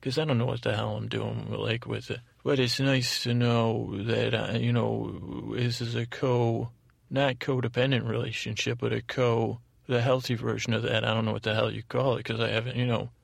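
Man with a heavily creaky, rambling voice. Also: medium pitch, little pitch movement, no echo. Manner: meandering, halting, repeats and trails off mid-thought. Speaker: podcast host. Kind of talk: rambling sleep-podcast monologue with listener thanks.

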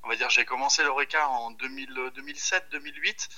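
A man in his thirties speaks as a guest on a phone call. His voice is strikingly bright and forward, at an ordinary male pitch. He talks informally and hesitantly, thinking aloud as he goes. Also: fairly even intonation, fast talker. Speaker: chef, podcast guest. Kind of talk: casual chat over the phone.

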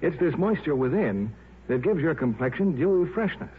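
Husky American vintage radio ad man. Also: on the high side, very animated, moderate pace. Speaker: commercial announcer. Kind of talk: scripted 1950s radio advertisement. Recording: muffled thin 1950s radio recording.

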